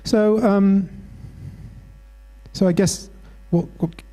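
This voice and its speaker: Brit guy running a workshop in a hall, warm low-key voice, stops and restarts a lot, faint hum. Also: fairly even intonation. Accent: British. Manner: encouraging, halting with many restarts. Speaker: workshop facilitator. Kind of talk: interactive workshop teaching.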